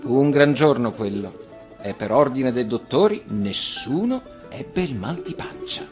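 A man reads a fairy tale in a medium-pitched, animated voice. His phrasing is theatrical and measured, with pauses, in the style of radio storytelling for children. Music plays clearly behind him. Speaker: actor reading a fairy tale.

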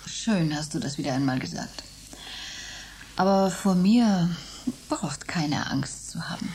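Low-pitched, touchy theatrical wife. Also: female voice, lively intonation, a little slow, warm tone, a little hiss or hum. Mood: reproachful. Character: touchy, worried middle-aged former actress, bickering wife. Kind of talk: German science fiction radio play acting.

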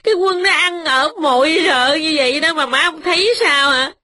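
A woman voicing a spiteful young wife who nags and mocks in a sharp, sarcastic tone. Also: high voice, moderate pace, voice pushed up. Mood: indignant.

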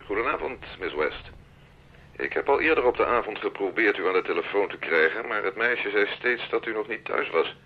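A man playing a suave, imperious middle-aged army major in a radio drama, courteous, measured and condescending. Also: fairly low pitch, lively intonation, husky, phone-quality sound. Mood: insistent, courteous.

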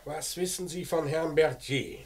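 An actor playing a calm, methodical police commissioner in a vintage radio crime play, terse and probing. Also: male voice, fairly high pitch, unhurried, vocal fry. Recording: echoey, noisy old radio recording.

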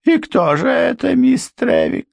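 A man voicing a frightened middle-aged housekeeper in a high, strained, tearful voice. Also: huge pitch swings, a little slow, gravelly, dry recording, exaggerated voice. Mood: alarmed. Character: anxious middle-aged female housekeeper, shrill, pleading. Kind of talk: audiobook character voice.

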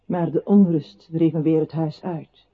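An actor playing a grave narrator in a radio drama, telling of a lonely man's downfall in measured, literary phrases with a husky voice. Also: a little high, fairly even intonation. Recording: muffled phone-like old radio recording.

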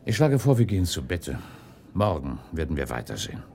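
Radio drama: formal, cautioning nobleman companion, low and animated, faint noise. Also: a little fast, exaggerated voice. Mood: calm, practical. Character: prudent, loyal count, companion to a prince. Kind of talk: German radio drama acting.

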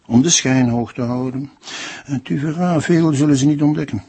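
Husky, unruffled Flemish notary. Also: male voice, mid-range voice, warm tone. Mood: calm, guarded. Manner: mixes French phrases into speech, measured. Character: unruffled, secretive bourgeois notary and father. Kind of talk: radio drama acting.

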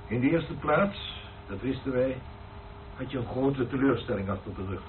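A man acting a probing senior churchman in a Dutch radio play, speaking in a measured, solemn, questioning way. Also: medium pitch, lively intonation, lightly acted. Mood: solemn, reflective. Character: probing senior churchman, a priest's spiritual mentor. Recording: noisy, narrow phone-like old radio recording.

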